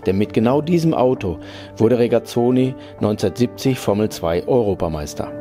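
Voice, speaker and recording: A man reading a scripted report voice-over in an even, measured way. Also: on the low side. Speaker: narrator. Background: background music.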